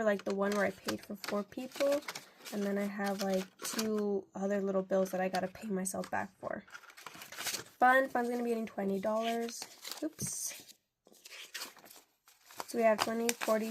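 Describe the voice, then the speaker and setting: A woman's voice: on the high side, a little hiss or hum. Congested young woman chatting about budgeting. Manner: rambling, chatty, lots of "like". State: congested from a cold. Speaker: budgeting vlogger. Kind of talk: casual chatty narration while counting cash.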